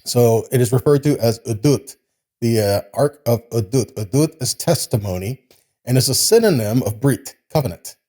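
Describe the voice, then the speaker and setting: A man's voice: medium pitch, lively intonation, moderate pace, warm tone. A man giving a Bible teaching, reading scripture and explaining it in a didactic way with short check-in questions. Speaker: religious teacher giving a sermon.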